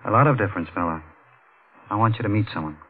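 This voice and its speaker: A man's voice: on the low side, fast, natural voice. A man playing a stern, probing police captain in an old-time radio drama. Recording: noisy, tinny old radio recording.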